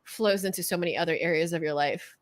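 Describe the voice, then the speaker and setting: Fast-talking Californian woman, dry sound. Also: lowish voice, dry recording. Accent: Californian Valley. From Southern California. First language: Korean. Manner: talks fast when passionate, stumbles over words. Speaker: guest coach. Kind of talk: energetic interview chat.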